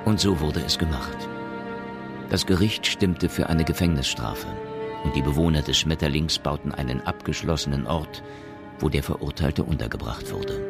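A storyteller for a science fiction radio play, speaking measured standard German. The voice sits extremely low and crackles with fry. Music plays clearly behind it. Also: male voice, heavily acted. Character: omniscient male narrator of a science fiction tale. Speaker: narrator. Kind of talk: scripted audio drama narration.

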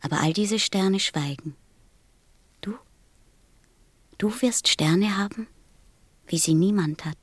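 A woman playing a gentle, wistful small boy from a star in a German radio play, speaking softly, tenderly and solemnly. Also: mid-range voice, slow, pauses often, breathy edge, no room sound. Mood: tender, consoling. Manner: tender, solemn, simply spoken.

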